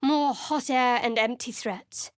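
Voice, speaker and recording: A secretive young female cleric for a fantasy video game. Her voice sits a little high and is cool and guarded, with clipped, sardonic delivery. The sound is very dry, with no room around it. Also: slow, no echo. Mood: wry, wary.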